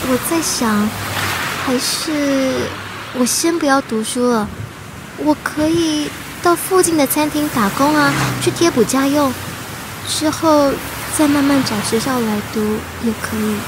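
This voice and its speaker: A woman's voice: high voice, a little slow, noisy background. A woman playing an earnest, sensitive teenage immigrant daughter in an audio drama, speaking and narrating in a heartfelt, emotive way. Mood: hesitant, troubled. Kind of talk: audio drama acting and first-person narration. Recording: loud music behind the voice. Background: loud background music.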